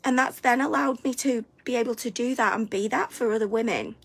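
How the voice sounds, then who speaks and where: A woman, hosting a live stream, a little hoarse and congested from a cold, chatty and upbeat, sometimes stumbling over her words. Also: on the high side, fairly quick. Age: 40s. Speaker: host of a weekly live stream. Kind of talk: live stream chat to viewers.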